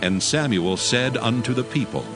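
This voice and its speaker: A man's voice: medium pitch, lively intonation, moderate pace. A man narrating scripture in a solemn, scripted, dramatic storytelling style. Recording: music under the narration.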